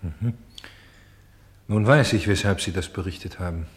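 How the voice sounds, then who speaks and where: A man acting a reflective, earnest listener in radio-play dialogue, with an expressive delivery. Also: deep voice, moderate pace, natural voice. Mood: pondering.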